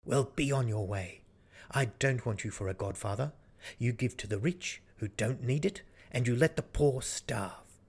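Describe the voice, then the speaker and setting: A man's voice: medium pitch, unhurried, a little mellow, slightly rough. A man voicing a poor working father in a fairy tale, blunt, indignant and distrustful.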